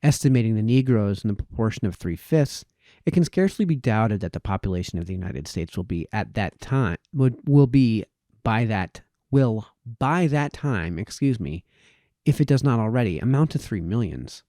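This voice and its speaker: A man reading formal historical prose aloud in a measured way, letting his phrases fall away at the ends of sentences. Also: fairly low pitch, expressive, mellow, no room sound, clean studio sound. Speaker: reader of historical political essays.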